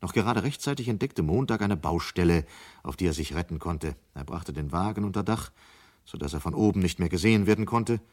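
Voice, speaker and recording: Casting: male narrator for radio drama. Deep voice, sober, measured, scripted storytelling.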